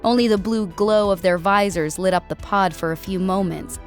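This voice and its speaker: A woman delivers scripted story narration in a mid-pitched voice. She reads prose as an audiobook narrator. Music plays softly behind her. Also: moderate pace. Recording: music bed under voice. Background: background music.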